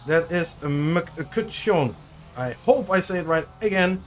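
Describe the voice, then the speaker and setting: A man's voice: a little high, lively intonation, takes it slowly, soft background music. A man with a Dutch accent giving chatty live commentary on a sim racing qualifying session, often correcting himself and unsure how to say drivers' names. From Netherlands. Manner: chatty, self-correcting, unsure of name pronunciations. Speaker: race commentator.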